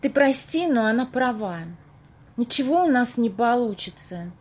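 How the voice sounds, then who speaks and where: A weary, gentle woman played by an actress with a husky, slightly high voice. She speaks apologetically, as a friend in a radio play. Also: lively intonation, moderate pace. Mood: apologetic, tired. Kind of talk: amateur audio play acting.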